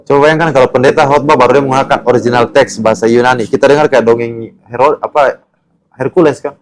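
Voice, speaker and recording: A man's voice: medium pitch, fairly even intonation, fast, crowd noise, low-quality recording. A man taking part in a casual discussion, chipping in with examples and short explanations. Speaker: discussion participant.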